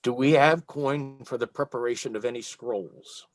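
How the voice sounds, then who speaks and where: A man's voice: mid-range voice, normal speed, no echo. A man playing a role-playing game, speaking in casual table talk.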